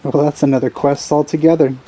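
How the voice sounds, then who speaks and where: A man's voice: fairly high pitch, flat delivery, fairly quick, dark tone, poor audio. A man giving casual, musing commentary as he plays a video game, with rambling asides. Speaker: let's play host. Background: faint game music.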